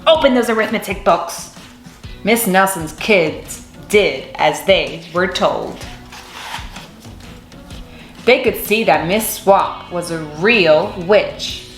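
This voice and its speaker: A woman reading a children's picture book aloud with expressive storytelling. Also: lowish voice, drawn-out words, roomy sound, soft background music.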